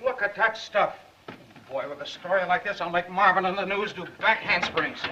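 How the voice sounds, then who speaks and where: A man's voice: high-pitched, moderate pace, pushed and bright, a little hiss or hum. An actor playing a brash, cocky young male reporter in a 1930s film. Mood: excited. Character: brash, cocky young newspaper reporter, eager and fast-talking. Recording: dull 1930s film soundtrack.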